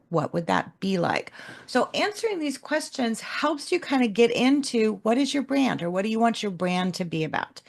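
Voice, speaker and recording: A woman co-hosting a business webinar, explaining homework questions in a calm, measured teaching manner. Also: mid-range voice, creaky. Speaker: webinar co-host, leadership coach. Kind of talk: instructional webinar talk.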